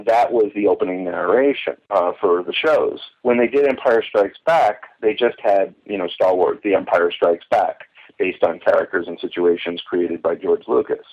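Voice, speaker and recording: A deep-voiced man comes through a muffled, phone-like line with slightly husky, unclear tone. He is an eager interview guest, talking emphatically and drifting into asides. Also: normal speed. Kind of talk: enthusiastic conversational recollection.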